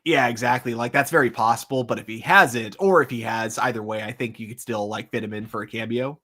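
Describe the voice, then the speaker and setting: A man's voice: mid-range voice, a little fast, bright, forceful tone. A man co-hosting a casual fan discussion show, hesitant as he searches for his words. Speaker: co-host of a live entertainment news show.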